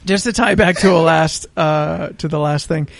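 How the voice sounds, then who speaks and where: Creaky-voiced podcast co-host in casual chat. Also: mid-range voice, normal speed, no echo.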